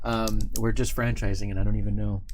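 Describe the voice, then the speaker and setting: A man's voice: on the low side, little pitch movement, fairly quick, a crowd in the background. A man hosting a live stream in a casual, conversational way, thinking aloud as he goes. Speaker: host of a company live stream.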